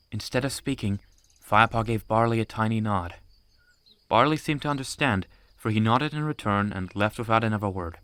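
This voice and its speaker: A man's voice: lowish voice, a bit bright, no echo. A man reading scripted audiobook narration of an animal adventure story.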